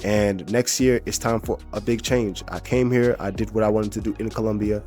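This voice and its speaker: Young male vlogger, low voice, easygoing slang, light music bed behind. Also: moderate pace. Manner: relaxed, slangy, conversational. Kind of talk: casual vlog talk to camera.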